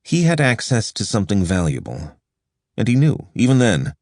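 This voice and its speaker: Deep-voiced man narrating an audiobook. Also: no room sound, studio quality. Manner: measured, even storytelling delivery. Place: recording studio. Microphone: close studio microphone.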